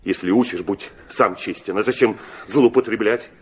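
An actor playing an agitated, querulous prince in a Russian classic audio drama, muttering and breaking into fretful outbursts. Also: male voice, on the low side, normal speed, slightly rough. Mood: irritated, anxious.

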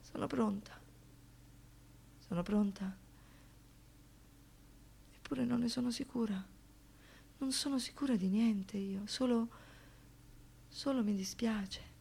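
An actress playing an anguished, defiant survivor in a theatrical radio monologue, moving between hushed murmurs and impassioned outbursts. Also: female voice, on the high side, slow pace, vocal fry, pauses often, breathy edge, full character voice. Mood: bitter, broken resignation. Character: anguished, defiant woman, survivor of a brutal assault.

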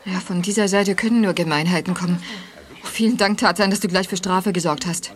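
A woman's voice: lowish voice, fairly quick, close to an everyday voice. A woman playing an offended, indignant female friend of young detectives in a German children's audio drama.

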